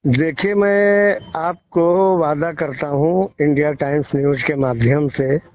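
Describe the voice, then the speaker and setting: A man's voice: takes it slowly, a little mellow. A male field reporter giving emphatic, moralizing opinions in a news phone interview, often repeating phrases. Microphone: phone call. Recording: muffled, echo-free low-fidelity phone call recording.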